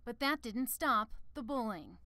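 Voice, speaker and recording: A woman's voice: a little high, expressive, a little slow, pushed and bright, dry recording, studio quality. A woman news reporter reading scripted narration for a television report.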